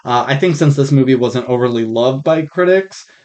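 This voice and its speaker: A man co-hosting a podcast in casual conversation, recorded on a rough, faulty microphone. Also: medium pitch, moderate pace, darkish tone. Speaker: podcast co-host discussing films. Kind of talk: casual chat. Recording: dull, rough malfunctioning podcast mic.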